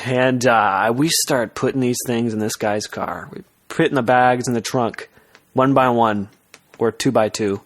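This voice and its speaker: Young man telling a halting, casual story. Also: mid-range voice, steady tone. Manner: halting, self-interrupting, swears casually. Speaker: podcast co-host telling a work story. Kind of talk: casual storytelling chat with friends.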